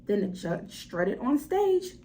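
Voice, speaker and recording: Woman, high voice, very lively intonation, children's read-aloud, dramatic emphasis, talks to listeners. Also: takes it slowly, slight background noise. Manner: animated, dramatic emphasis, addresses listeners directly. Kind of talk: expressive children's story reading with asides to listeners.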